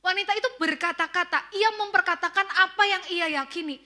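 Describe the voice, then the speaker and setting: A young woman preacher with a Tegal Javanese accent, giving a sermon in a lively storytelling way and speaking straight to the congregation. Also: very high voice, a little fast. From Central Java, Indonesia. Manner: addresses congregation directly, lively storytelling with quoted asides.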